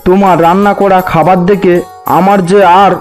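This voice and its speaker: A man voicing a kindly older father-in-law in a fairy-tale cartoon, affectionate and coaxing, gently reproving. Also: sits high, little pitch movement, moderate pace, faint music behind, close to an everyday voice. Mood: affectionate, delighted.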